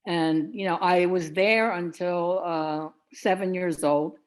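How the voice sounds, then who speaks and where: Slow-talking older woman. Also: fairly low pitch, steady tone. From United States. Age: 70s. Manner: meandering, restarts often. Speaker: guest. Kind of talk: casual storytelling. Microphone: video call.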